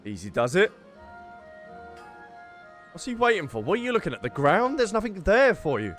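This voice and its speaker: Animated, playful male gamer, higher-pitched. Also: moderate pace, faint music behind. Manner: playful, jokey, talks to characters. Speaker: gaming content creator. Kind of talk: casual let's play commentary.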